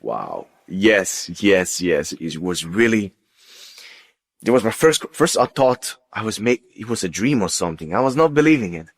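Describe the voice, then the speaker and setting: Low voiced guy, telling his own story, kinda halting, repeats himself for emphasis. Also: sing-song. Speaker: guest giving a personal testimony. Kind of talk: conversational personal storytelling.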